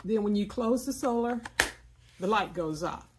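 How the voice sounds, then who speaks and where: Woman casually demonstrating a product, often pausing. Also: medium pitch, noisy background. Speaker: product reviewer.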